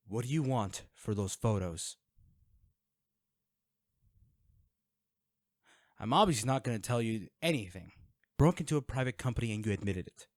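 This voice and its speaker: A man's voice: mid-range voice, dry recording, clean studio sound, lightly acted. A man playing a guarded, wealthy vigilante in an audio drama, speaking in a curt, sarcastic and threatening way to the listener. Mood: angry.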